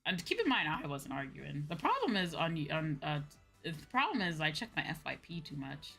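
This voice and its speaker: A woman's voice: sits low, very animated, moderate pace, gravelly, sharp tone, breathy edge, faint music behind. A woman livestreamer chatting casually, in an informal, slangy, ranting way that acts out her own reactions. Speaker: VTuber livestream host.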